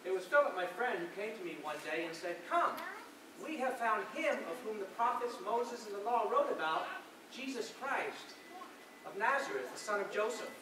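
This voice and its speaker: Devout fisherman disciple played by a man, earnest stage monologue, high voice, very echoey and far from the mic. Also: expressive, moderate pace. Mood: earnest recollection. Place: large reverberant indoor hall, recorded from afar. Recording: very echoey hall, distant mic, noisy.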